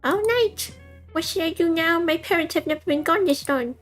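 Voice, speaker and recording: A squeaky, trembling baby mouse, as a woman puts it on, timid and pleading. The voice sits very high above the reader's own and wavers as it begs. Faint music plays underneath. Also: normal speed, a bit bright. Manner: squeaky, tremulous and breathless.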